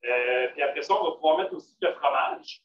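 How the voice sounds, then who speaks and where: A man's voice: a little high, a little fast. Québécois man demoing vegan cooking, from afar. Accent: Québécois French. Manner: casual, many fillers and restarts. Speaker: cook at a vegan restaurant. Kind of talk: live cooking demonstration. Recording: roomy, distant, dull, faint noise.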